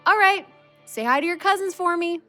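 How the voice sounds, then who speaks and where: A woman playing a sharp-tongued, unimpressed barmaid in an audio drama, sardonic and scolding. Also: very high-pitched, a little fast, music in the background.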